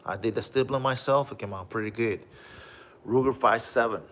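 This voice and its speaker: A man with a Puerto Rican accent hosting a casual livestream, reading viewer comments and showing off his collection, with a relaxed manner and frequent "bro" and "okay?". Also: medium pitch, brisk, a little hiss or hum. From Puerto Rico. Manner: relaxed, repeats "bro" and "okay?", many fillers.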